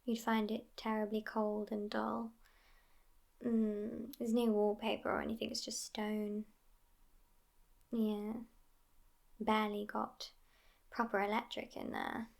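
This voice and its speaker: A woman voicing a sassy, affectionate immortal vampire girlfriend in an ASMR roleplay, hushed and often whispering, with a languid delivery. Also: medium pitch, monotone, slow pace, vocal fry, long pauses. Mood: wry, relaxed.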